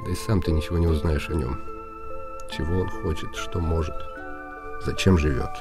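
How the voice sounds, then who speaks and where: Deep-voiced, weary, scornful nobleman. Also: steady tone, moderate pace, over music. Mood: bitter, weary. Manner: brooding, bitterly ironic. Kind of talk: radio play inner monologue.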